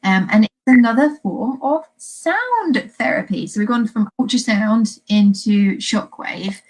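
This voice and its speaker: A woman's voice: a little high, expressive, measured pace, a little mellow, poor audio. A woman hosting an informal expert interview, explaining and asking questions in a chatty way. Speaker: host of a live veterinary education series.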